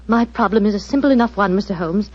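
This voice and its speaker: A woman's voice: medium pitch, fast, a little mellow, no room sound, close to an everyday voice. An actress playing a frightened, well-spoken young British lady in peril in an old-time radio drama, tremulous and dramatic. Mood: dread. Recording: dull, muffled old radio recording.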